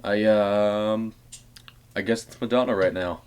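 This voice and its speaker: A man playing a weary, enigmatic late-night radio host who talks in a measured, courteous way in an audio story. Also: lowish voice, steady tone, heavy background noise, lightly acted. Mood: distracted, apologetic.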